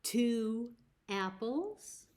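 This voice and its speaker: A woman with an American accent hosting a children's story time, speaking deliberately as if to young listeners. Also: on the high side, very animated, a little mellow. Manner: slow, deliberate phrasing with questions to young listeners. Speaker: library story time host.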